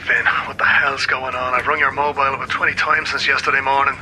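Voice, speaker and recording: An actor playing an anxious, insistent news source calling on the phone in a radio play. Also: male voice, medium pitch, little pitch movement, fast, bright, forceful tone, poor audio. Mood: angry. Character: anxious, urgent male news source on telephone. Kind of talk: naturalistic radio drama acting.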